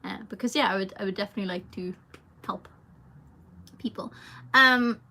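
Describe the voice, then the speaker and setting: Bright chatty young woman. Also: mid-range voice, moderate pace, gravelly, slight background noise. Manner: chatty, rambling, lots of fillers, laughs often. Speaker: singer. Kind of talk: casual livestream Q&A, reading viewer comments.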